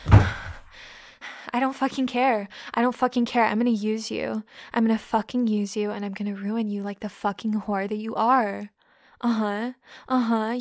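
A woman doing a scornful, gravelly college girl. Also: a little high, darkish tone. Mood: furious. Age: young adult. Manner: taunting, contemptuous, swears constantly. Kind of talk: audio roleplay.

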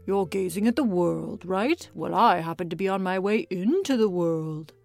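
A woman voicing a boastful little tailor in a read-aloud fairy tale, loud, slow and puffed up, near her own voice. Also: fairly low pitch, no echo, faint music behind, lightly acted.

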